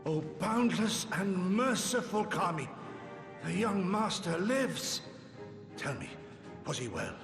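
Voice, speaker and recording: Venerable resistance fighter for fantasy game; formal, dignified, devoted, with expressive swings and long hall reverb. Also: male voice, sits high, takes it slowly, heavily acted. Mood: overjoyed, anxious. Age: older. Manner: archaic, dignified, theatrical. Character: aged male resistance warrior, proud, formal, devoted to his master. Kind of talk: scripted game voice acting in archaic formal English. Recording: echoey hall reverb under clear music.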